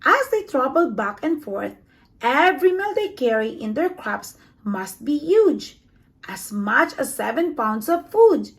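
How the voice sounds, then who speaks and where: High-pitched, expressive woman reading a children's book. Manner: expressive, acts out sound words with emphasis. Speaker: storybook reader. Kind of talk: read-aloud children's nonfiction picture book.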